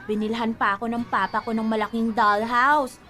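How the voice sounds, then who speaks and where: A bratty little girl for a radio drama. The voice is high and sharply bright, childlike and needling, full of boastful, nosy mischief. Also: little pitch movement, moderate pace, faint music behind.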